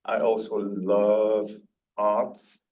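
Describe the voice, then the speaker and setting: A man from France who teaches languages, introducing himself in a halting, playful way. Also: lowish voice, completely flat delivery, darkish tone, slight background noise. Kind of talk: casual self-introduction.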